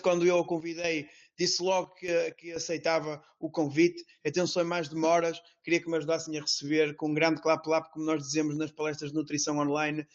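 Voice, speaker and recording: A man opens an online talk and introduces the next speaker, hesitating often and filling gaps. His voice sits fairly high yet stays very flat. The sound is dull and lo-fi. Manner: hesitant, many fillers. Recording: dull lo-fi audio.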